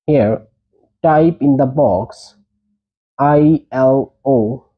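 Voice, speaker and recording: A man's voice: medium pitch, drawn-out words, husky, narrow, tinny audio. A man presenting a tech tutorial, giving short, plain step-by-step instructions.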